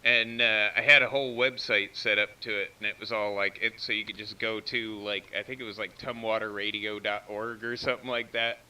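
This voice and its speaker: A man's voice: lowish voice, level tone, brisk, hardly any pauses, pushed and bright, a little hiss or hum. An American man chatting casually over CB radio, explaining technical things in an informal, step-by-step way. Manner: explanatory, informal, walks through tech details. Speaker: CB radio operator running a live stream. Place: CB radio station, heard through live feed. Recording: distant-sounding CB radio feed.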